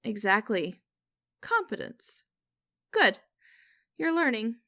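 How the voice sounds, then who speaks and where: A woman playing a cold, condescending female boss in an ASMR audio roleplay, speaking to the listener in a deliberate, commanding, mocking way. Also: a little high, very animated, fairly bright tone, no room sound, studio quality, full character voice. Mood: smug, condescending. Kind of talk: ASMR roleplay acting, direct address to listener.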